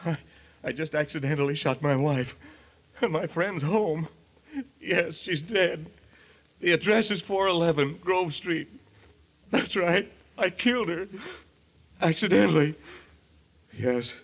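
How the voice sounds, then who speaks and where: An actor playing an agitated, desperate husband in an old-time radio mystery. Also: male voice, fairly high pitch, a little slow, long pauses, fairly bright tone, dull audio. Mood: shaken. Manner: agitated, pleading, indignant. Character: panicky, desperate husband accused of killing his wife.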